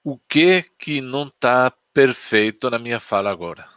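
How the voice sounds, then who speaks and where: A man's voice: mid-range voice, moderate pace, a bit bright, clear, resonant tone, no echo, studio quality. Spanish-accented man telling a story. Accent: Spanish from Spain. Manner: chatty, anecdotal, asks the audience questions. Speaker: Spanish teacher. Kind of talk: casual storytelling lesson on a live stream.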